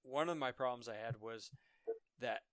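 A man's voice: mid-range voice, fairly bright tone, no echo. A man from Massachusetts, a podcast guest explaining things with many restarts and repeated words. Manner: halting, repeats words while explaining, laughs lightly. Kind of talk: casual conversation.

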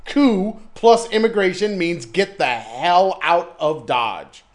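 A man's voice: high voice, slow. An American man co-hosting a casual podcast, blunt and joking, leaning on a running catchphrase. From United States. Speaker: podcast co-host. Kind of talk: casual chat.

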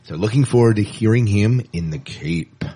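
A man's voice: lowish voice, expressive, moderate pace, dark tone, husky. A man co-hosting a podcast, chatting casually and enthusiastically like a fan about entertainment news. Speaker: podcast co-host.

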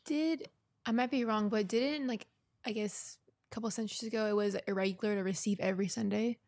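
A young American woman co-hosts with a gravelly fry and a dark, relaxed tone. She chats casually, phrasing her thoughts tentatively, often as questions. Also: mid-range voice, fairly quick. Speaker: co-host. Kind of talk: casual chat.

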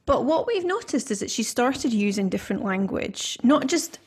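A woman's voice: a little high, sing-song, moderate pace, slightly rough. A woman from Scotland hosting a podcast, talking in a chatty, rambling way with plenty of fillers and restarts. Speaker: podcast host. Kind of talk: casual podcast conversation.

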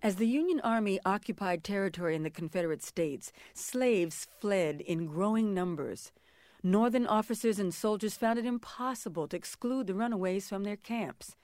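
A woman's voice: medium pitch, moderate pace, a little mellow, no room sound, clean studio sound. A woman narrating scripted history for a dramatic reading.

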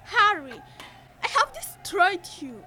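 Very high-pitched, pleading young wife played by a girl. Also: very animated, takes it slowly, very noisy, heavily acted. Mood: guilty, sorrowful. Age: teenager. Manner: earnest, pleading. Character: anguished, guilt-ridden young wife pleading for humanity. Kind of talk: youth radio theatre acting.

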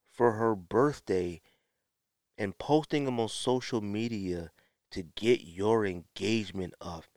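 A man with an American accent giving opinionated solo podcast talk in a blunt, emphatic way, with drawn-out pauses and repeated phrases. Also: lowish voice, dry recording, studio quality. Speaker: podcast host.

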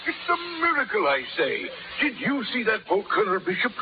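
An actor playing a fussy, excitable colonial governor in a 1930s radio drama. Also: male voice, high-pitched, fairly quick, music in the background. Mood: amazed. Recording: noisy, muffled 1930s radio broadcast.

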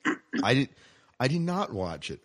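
A man co-hosting a casual podcast chat about science fiction, halting and repeating his phrases as he steers the talk. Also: medium pitch, very animated. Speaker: podcast co-host.

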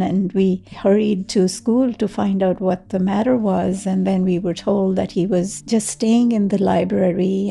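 A woman's voice: mid-range voice, gravelly, warm tone, breathy edge. A woman in her seventies from Kashmir, telling her life story in an interview, reflective, with fillers and restarts. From Kashmir, India. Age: 70s. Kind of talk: personal storytelling.

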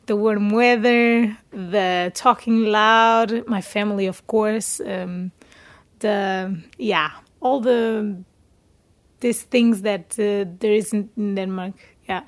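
A young woman from Portugal with a Portuguese accent, a native Portuguese speaker telling her story in an interview, often pausing to search for words in English. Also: medium pitch, steady tone. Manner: hesitant, searching for words in second-language English. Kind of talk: casual personal storytelling in an interview.

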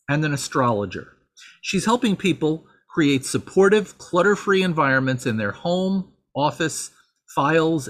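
Male podcast host; somewhat high voice, measured read. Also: a bit bright. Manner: measured, spells out web addresses slowly. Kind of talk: podcast hosting and read promo.

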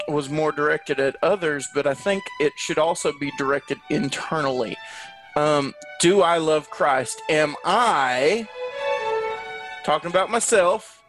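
A man giving an earnest devotional talk as a Bible teacher, emphatic and fond of rhetorical questions. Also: fairly high pitch. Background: prominent music.

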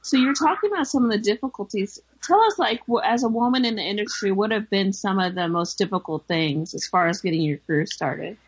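A woman hosting a talk show, agreeable and quick with eager interjections, with a sweet voice. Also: mid-range voice, lively intonation, breathy edge, no echo. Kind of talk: casual interview chat. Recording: dull lo-fi sound.

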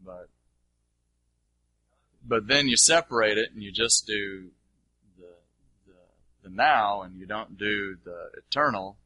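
A lowish, husky male voice with a very bright, pressing edge. He teaches informally in answer to questions, emphatic and colloquial, in a dry recording. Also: slow, long pauses, no room sound, poor audio. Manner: colloquial, emphatic, checks for understanding.

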